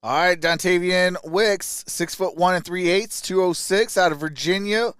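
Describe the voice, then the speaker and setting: A man's voice: sits high, normal speed, fairly bright tone, smooth, no echo, clean studio sound. A man doing casual live-stream sports commentary as host, talking informally to his viewers. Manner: informal, addresses viewers as y'all.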